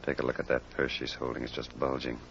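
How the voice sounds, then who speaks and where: An actor playing a shrewd, persistent American detective in an old radio crime drama, probing and assured. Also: male voice, rumbling low, flat delivery, quick pace, vocal fry, a bit bright, a little hiss or hum, heavily acted. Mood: insistent. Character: shrewd, persistent male amateur sleuth questioning suspects. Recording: dull lo-fi old radio recording.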